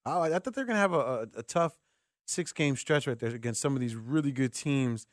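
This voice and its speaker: A man's voice: medium pitch, expressive, fast talker, dry recording, clean studio sound. A man hosting a sports radio show, talking conversationally as he interviews a guest.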